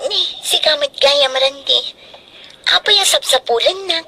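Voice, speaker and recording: A woman playing a gentle, obedient young student daughter in an Ilocano radio drama, speaking meekly and politely. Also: high-pitched, expressive, a little slow, a little hiss or hum, heavily acted. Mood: hesitant. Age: young adult.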